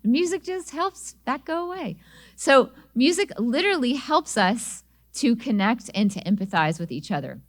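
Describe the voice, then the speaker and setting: A middle-aged woman, a singer-songwriter, giving an inspirational talk and telling personal stories, with small restarts as she talks. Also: medium pitch. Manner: warm storytelling with small restarts.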